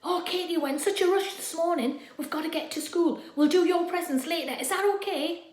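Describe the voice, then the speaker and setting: A woman's voice: very high voice, fairly quick, a little mellow, echoey room, voice pushed up. A woman voicing a busy, affectionate mother of a teenager in a children's story. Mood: rushed, breezy.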